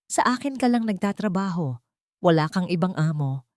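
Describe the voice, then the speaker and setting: A cold, merciless father and boss, acted out by a woman storyteller, stern and accusing in an audio drama. The voice is a bit low, commanding, and recorded very dry. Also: expressive, normal speed, darkish tone, no echo, natural voice. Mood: icy.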